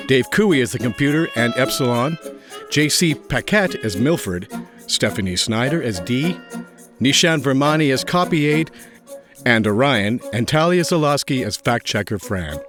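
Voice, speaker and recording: A man working as an announcer, reading scripted credits. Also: mid-range voice.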